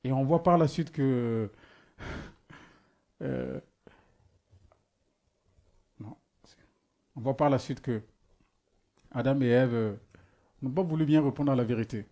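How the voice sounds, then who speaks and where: A man's voice: medium pitch, slow pace, creaky, pauses often, warm tone. A man who is not a native speaker preaches a sermon, asking rhetorical questions and often restarting as he searches for words. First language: not French.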